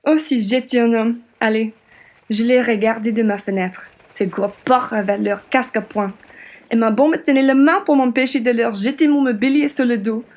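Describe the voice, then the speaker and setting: A woman's voice: a little high, fairly even intonation, moderate pace, a little mellow, lightly acted. A young woman acting a spirited, plain-spoken French patriot woman in a radio play. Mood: indignant, proud. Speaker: student actor.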